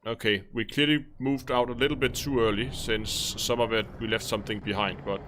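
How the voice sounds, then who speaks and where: A man's voice: fairly even intonation, a little fast, fairly bright tone, a little hiss or hum. A man with a Danish accent thinking aloud in casual commentary while live-streaming a game. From Denmark. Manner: thinking aloud, musing, low-key.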